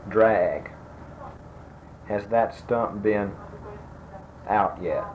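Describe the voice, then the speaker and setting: A man in his sixties, speaking softly and slowly as he asks grammar fill-in-the-blank questions, his sentences trailing off. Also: lowish voice. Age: 60s. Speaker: interviewer giving a grammar quiz. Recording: dull lo-fi tape with faint noise.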